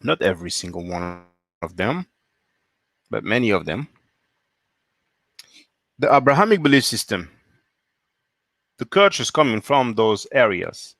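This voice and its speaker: A man's voice: fairly low pitch, very animated, a little slow, long pauses, fairly bright tone, no echo. A man from France hosting a solo live stream, reading viewer comments and asking pointed rhetorical questions.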